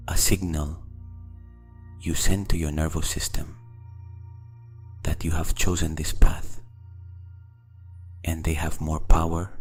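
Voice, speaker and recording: A man narrates a scripted guided meditation in slow, deliberate phrases with long pauses. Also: rumbling low, a little mellow, slightly rough.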